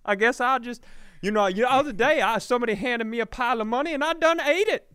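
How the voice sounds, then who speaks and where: A man voicing a dim-witted, gullible country bumpkin with a Southern accent and an earnest, folksy drawl in a high, strained voice. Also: quick pace, sharp tone, clean tone. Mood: earnest, simple.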